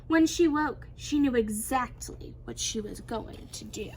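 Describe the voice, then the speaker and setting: A woman reading a children's storybook aloud in an expressive, animated storytelling manner. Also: fairly high pitch, a little slow, noisy background. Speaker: teacher reading a picture book aloud.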